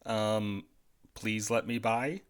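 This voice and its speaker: A man's voice: fairly low pitch, fairly even intonation, takes it slowly. An actor in an audio drama playing a reluctant, polite man, hesitant and resigned. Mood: uneasy. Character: reluctant, polite night guard wanting to leave.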